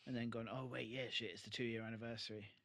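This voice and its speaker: A man's voice: medium pitch, little pitch movement, fast talker, vocal fry, a bit bright. A man co-hosting a podcast, chatting casually in an agreeable, informal way. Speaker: podcast co-host. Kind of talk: casual chat, re-watch commentary.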